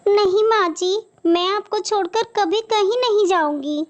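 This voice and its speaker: A woman's voice: extremely high pitch, unhurried, pitched above the performer's own voice. A woman voicing a sweet, dutiful young daughter-in-law bird in a cartoon, polite and eager to please. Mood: gentle, earnest. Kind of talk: Hindi moral-story cartoon acting.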